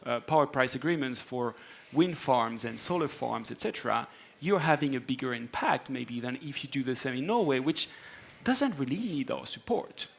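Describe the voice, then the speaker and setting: A man's voice: medium pitch, expressive, normal speed, gravelly. A man speaking off the cuff on a conference panel, arguing his point with frequent repeated filler phrases. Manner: filler-heavy, repeats "you know, just". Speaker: panelist, data center energy executive. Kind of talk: panel discussion, unscripted argument.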